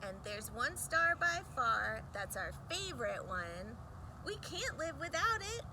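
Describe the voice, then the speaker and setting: Female children's storybook reader, high-pitched, unhurried, emphatic rhyming delivery with deliberate pauses. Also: big pitch swings, background noise. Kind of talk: expressive read-aloud of a rhyming picture book for children.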